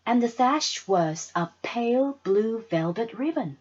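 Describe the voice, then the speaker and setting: Expressive, husky woman reading a story. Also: fairly high pitch, measured pace, dull audio. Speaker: storybook reader. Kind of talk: expressive children's story narration.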